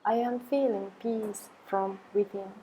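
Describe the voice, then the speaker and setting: Woman guiding meditation in an even tone. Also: medium pitch, slight background noise, low-quality recording. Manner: slow, measured phrasing with long pauses. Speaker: meditation and yoga guide. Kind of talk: guided affirmation meditation.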